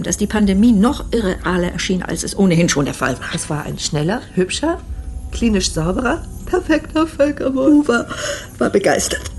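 A woman acts as a skeptical female investigator in a radio drama. Her voice is mid-pitched, dry and deliberate, with faint noise and ambience behind it. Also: darkish tone, lightly acted. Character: serious, skeptical woman investigator unravelling a conspiracy. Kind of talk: radio play acting, storytelling.

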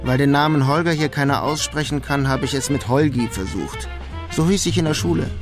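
A man plays a streetwise immigrant narrating a radio crime drama. He is laconic and dryly funny, with a mid-pitched voice. Music runs clearly behind him. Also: fairly quick, lightly acted. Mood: wry, reflective. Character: wry streetwise German immigrant in Queens, narrating his life.

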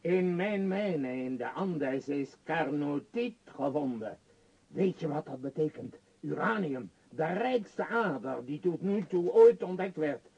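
A man's voice: on the high side, expressive, takes it slowly, a little mellow, husky, dull audio, exaggerated voice. A male actor playing a power-hungry, grandiose tycoon in a Dutch radio play, declaiming emphatically. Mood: exalted, secretive. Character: power-hungry, grandiose tycoon obsessed with his world empire.

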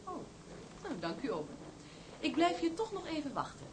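A woman's voice: sits high, wildly swooping pitch, normal speed, far from the mic, heavy background noise. An actress playing a forward, chatty woman reporter in a drama, polite and eager. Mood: polite, probing.

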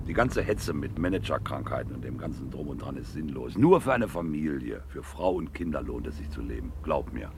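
A bossy, middle-aged businessman in a radio crime play. His low voice swings widely as he lectures and flares up, full of self-importance. There is clear background noise like a car. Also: big pitch swings, heavily acted. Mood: patronizing, relaxed. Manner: domineering, quick to flare up, self-righteous. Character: bossy, boastful, hot-tempered male businessman, middle-aged. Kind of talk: German radio crime drama acting.

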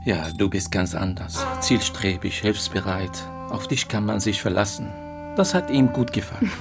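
An actor playing a sorrowful, resigned relative in a naturalistic radio drama, speaking gravely and reflectively. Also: male voice, fairly low pitch, normal speed, music in the background, lightly acted. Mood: fond, wistful.

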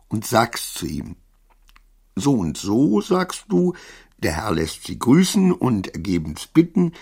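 An actor in a German radio play as a suspicious, agitated titular councillor, halting and repetitive, scolding and wheedling his servant. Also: medium pitch, slightly rough, heavily acted.

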